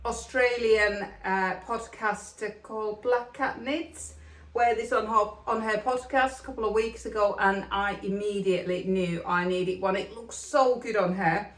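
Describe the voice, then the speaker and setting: A woman's voice: medium pitch, fairly bright tone. Chatty Finnish-born woman, echoey room. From Finland. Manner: chatty, frequent ums, upbeat. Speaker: knitting podcast host. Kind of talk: casual chat to camera. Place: home room with plastic extension, rain outside.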